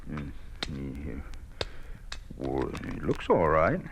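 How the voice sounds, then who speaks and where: An actor playing a kindly, stubborn old farmer with a folksy, halting way of talking in an old-time radio drama. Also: male voice, a bass voice, big pitch swings, creaky, heavily acted. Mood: puzzled, determined. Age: older. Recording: muffled, noisy vintage radio recording.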